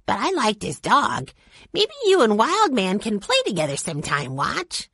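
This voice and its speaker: A woman voicing an excitable young boy with a bouncy delivery. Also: mid-range voice, moderate pace, breathy edge, no echo, clean studio sound, pitched above the performer's own voice, exaggerated voice. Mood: eager. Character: eager, hungry little boy.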